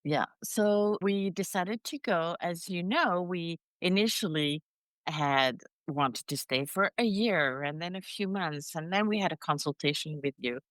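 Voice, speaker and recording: Low-voiced French woman guest. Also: a little slow, fairly bright tone, no room sound. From France. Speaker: podcast guest. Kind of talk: conversational interview.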